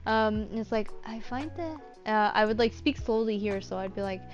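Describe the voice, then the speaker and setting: A woman's voice: mid-range voice, moderate pace, highs cut off, faint music behind. A woman giving a casual, informal tutorial as a voice actor, thinking aloud in a self-deprecating way. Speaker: voice actor giving script-writing advice.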